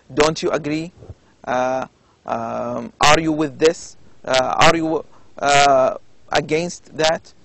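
A male university lecturer with an Arabic accent talks through slides at a very unhurried pace. His voice sits a little high and has a gravelly, creaky texture. He pauses often and fills gaps with hesitations as he explains. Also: fairly bright tone. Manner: slow, deliberate explaining with many pauses and fillers. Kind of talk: recorded lecture over slides.

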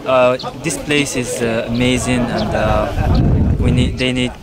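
A man with a Moroccan accent giving a student volunteer testimonial in halting, non-native English. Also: medium pitch, fairly even intonation. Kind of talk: interview testimonial. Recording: outdoors amid loud crowd.

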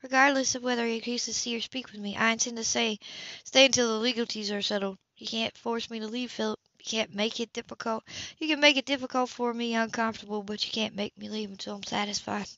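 A woman's voice: fairly high pitch, steady tone, fast talker, pushed and bright, dry recording, muffled recording. A woman reading a proud, composed, stubborn psychologist for an audiobook, in a precise and defiant formal manner.

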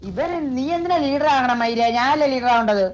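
A man's voice: very high voice, expressive, brisk, dull audio, crowd noise. A man with a Kerala Malayalam accent in casual gaming voice chat, grumbling and swearing freely to his teammates. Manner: grumbling, foul-mouthed.

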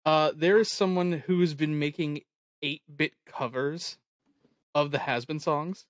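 A person chatting casually as host of a livestream, telling stories in an animated way and swearing freely. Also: mid-range voice, a little slow, dry recording, studio quality. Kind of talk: casual group chat on a livestream.

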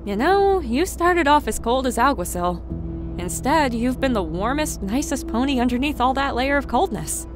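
A young pony mare acted by a woman, vulnerable but determined, speaks in a fairly high, heartfelt voice. It is audiobook drama with very loud music behind her. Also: sing-song, moderate pace. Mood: warm, emphatic.

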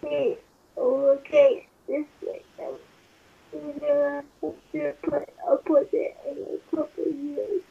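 A woman's voice: sits high, expressive, slow, gravelly, phone-quality sound, exaggerated voice. A woman playing a whiny, clingy young girlfriend who is hurting, in an amateur ASMR couple roleplay, on played-back video audio. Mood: whiny, pained.

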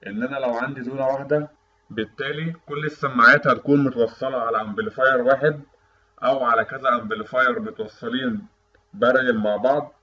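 A man's voice: medium pitch, pushed and bright. A man with an Egyptian Arabic accent giving an explanatory technical lecture, teaching didactically as an instructor. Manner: didactic, asks and answers own questions. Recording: dull, lo-fi voice-over recording.

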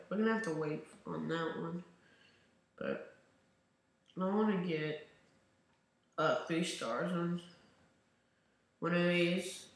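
A man's voice: high voice, vocal fry. A young man hosting a gaming channel, chatting casually over gameplay in a rambling way and saying he is losing his voice. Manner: rambling, chatty, talks to viewers. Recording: very echoey room.

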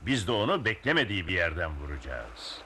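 A man's voice: low voice, sing-song, a little fast, sharp tone, slight background noise, heavily acted. A man voicing a bold, resolute sea captain in a dubbed cartoon, with a commanding manner. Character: bold heroic bearded young sea captain.

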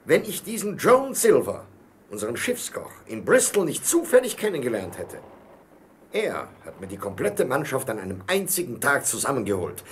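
An actor playing an enthusiastic, self-assured baron with a husky voice and grand, emphatic manner in a German radio play. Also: male voice, mid-range voice, wildly swooping pitch, normal speed, a little hiss or hum. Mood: indignant.

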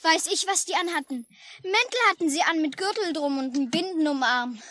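An actress playing a chatty, blunt and curious young child in a 1950s German radio drama. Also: female voice, high-pitched, brisk, a bit bright, no room sound. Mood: indignant, reporting. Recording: noisy old mono radio recording.

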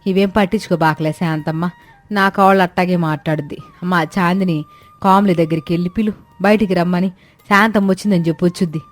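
A woman's voice: fairly low pitch, steady tone, brisk, faint music behind, lightly acted. A woman voicing a bewildered, fretful village mother-in-law who talks in a rustic, colloquial way. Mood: soothing, homely. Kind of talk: animated moral-story voice acting.

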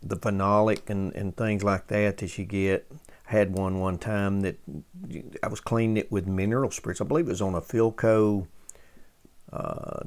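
A man hosting a casual hands-on restoration demonstration, talking in a folksy, halting way as he thinks aloud while working. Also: lowish voice, clean tone. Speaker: host of a vintage radio restoration channel.

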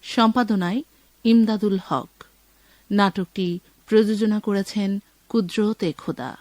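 Male radio announcer, formal scripted read, real slow, dry studio-like sound. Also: sits high, fairly even intonation, darkish tone, dry recording. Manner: measured, formal.

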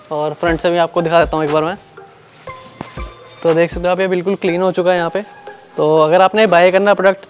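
Brief: male reviewer, quick and monotone delivery, faint music underneath. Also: high voice. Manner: quick, plain explaining to viewers. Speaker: product reviewer. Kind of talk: casual unboxing and demo narration.

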